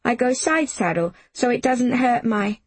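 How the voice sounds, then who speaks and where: A woman voicing an excitable young girl in an audiobook, eager, proud and hopeful. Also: fairly high pitch, a little slow, slightly rough, no echo, voice pushed up. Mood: earnest. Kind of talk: audiobook character voice.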